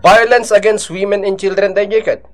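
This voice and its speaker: A man with an Ilocano accent playing a chatty, excitable drinking buddy in a radio drama. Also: high voice, moderate pace, soft background music, lightly acted. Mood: wary, advising.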